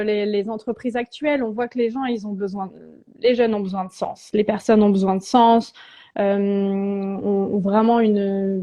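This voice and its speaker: This is a woman in her thirties with a warm, mellow voice, speaking as a guest coach in a live-stream chat. She thinks aloud, stopping and restarting her phrases as she goes. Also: medium pitch. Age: 30s. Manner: reflective, halting, many restarts and fillers. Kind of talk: casual live-stream conversation.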